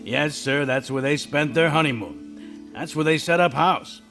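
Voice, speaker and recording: An actor playing a patient heavenly narrator who recounts the story in a radio play. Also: male voice, a little high, measured pace, a bit bright, faint music behind. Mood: storytelling. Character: calm heavenly male narrator, an angel's superior.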